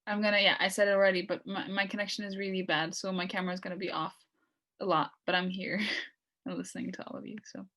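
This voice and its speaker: A young woman, moderating a casual video call chat in a quick, friendly and apologetic way. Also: medium pitch, level tone, sharp tone. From Norway. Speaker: discussion moderator.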